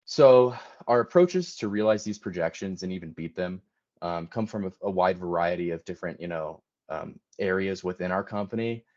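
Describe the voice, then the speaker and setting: A man's voice: low-pitched, normal speed, a little mellow. A man giving a prepared business presentation as a presenter, somewhat hesitant with frequent ums. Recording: dull lo-fi audio.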